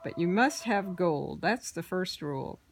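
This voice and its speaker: A woman's voice: lowish voice, expressive, unhurried, a little hiss or hum. A woman voicing a clever, bold man who teases and outwits goblins in a storybook reading. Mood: teasing. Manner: bright, confident, teasing.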